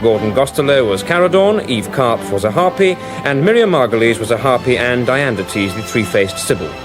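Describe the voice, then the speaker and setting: A male announcer reads scripted radio credits. His voice is medium in pitch, with music clearly playing behind it. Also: lively intonation, normal speed.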